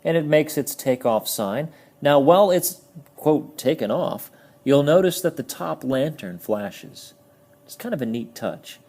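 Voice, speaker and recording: A man walks through a toy review in a relaxed, chatty way. His voice is dark and soft with some husk to it, and he explains each feature as though showing a friend. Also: a little high, unhurried. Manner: friendly, explanatory, stumbles over words. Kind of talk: casual product review.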